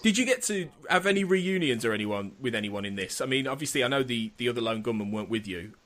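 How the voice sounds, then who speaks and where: A man's voice: medium pitch, animated, quick pace, fairly bright tone. A man hosting a podcast interview, asking long, rambling questions with many restarts. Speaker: podcast host.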